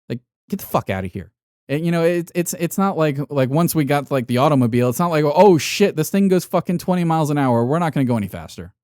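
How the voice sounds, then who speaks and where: A man's voice: mid-range voice, a little mellow, no room sound, studio quality. A man hosting a solo podcast in an unscripted monologue, ranting bluntly and emphatically with heavy swearing to motivate. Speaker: podcast host.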